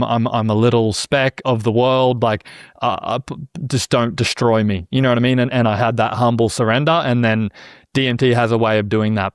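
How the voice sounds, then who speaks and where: A man's voice: medium pitch, no room sound. A man in his thirties talks through ideas on a podcast. He is earnest and a little scattered, doubling back on his own words. His voice sits mid-range and the recording is dry and close. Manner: rambling and earnest, many restarts, often says "you know what I mean". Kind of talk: casual conversation.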